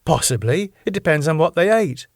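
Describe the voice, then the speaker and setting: A man voicing a calm, sensible older woman who speaks in a brisk, matter-of-fact way. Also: on the high side, no echo, clean studio sound, pitched above the performer's own voice.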